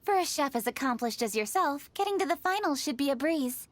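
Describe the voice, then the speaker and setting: A woman's voice: high voice, animated, a little fast. A woman voicing a serious, efficient young female official in a video game, articulate and businesslike. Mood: assured. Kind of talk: English video game voice acting. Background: game music.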